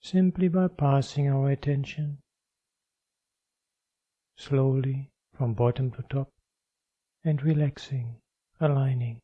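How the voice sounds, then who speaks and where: A man leading a guided meditation as a teacher, speaking calmly and in measured short phrases with long pauses. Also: mid-range voice, no echo, dull audio.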